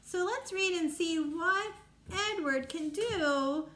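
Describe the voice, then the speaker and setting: A woman with a high-pitched voice talks to young children as their teacher. She explains simply and keeps asking them questions about the story. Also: slow, fairly bright tone.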